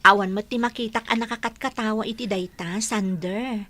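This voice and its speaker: A woman's voice: medium pitch, fairly even intonation, moderate pace, bright, forceful tone. A woman playing a loyal young woman who stands up for her friend in a radio drama. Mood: defensive. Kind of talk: Ilocano radio drama acting.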